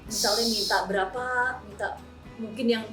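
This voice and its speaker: A woman from Semarang with a Central Javanese accent, chatting quickly with a guest as a vlogger. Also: mid-range voice, steady tone, recorded from a distance. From Semarang, Central Java. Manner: chatty, quick, laughing asides. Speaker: vlogger, co-host. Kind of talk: casual chat with a guest. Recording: roomy indoor sound with music underneath.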